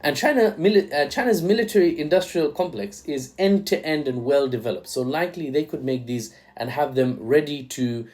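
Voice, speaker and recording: A man's voice: fairly high pitch, normal speed, hardly any pauses, darkish tone. Joking young man commenting on news. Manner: joking, irreverent asides.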